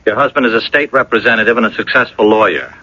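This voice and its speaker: Casting: sickly, menacing ex-boyfriend blackmailer, husky with a bright edge, radio play on a dull old broadcast. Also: male voice, fairly low pitch, quick pace, no room sound. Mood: insinuating, threatening. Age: 30s. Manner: wheedling, insinuating, calls her doll. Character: broke, ailing 39-year-old blackmailing ex-boyfriend, slyly menacing. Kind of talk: 1980s radio drama acting.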